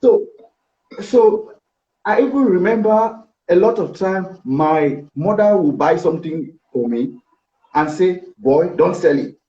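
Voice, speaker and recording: Animated Cameroonian man, mellow and echoey. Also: high voice, slow. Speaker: interview guest, business executive. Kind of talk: conversational personal storytelling. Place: indoor office. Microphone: video call, seated back from device. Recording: echoey, dull video-call audio.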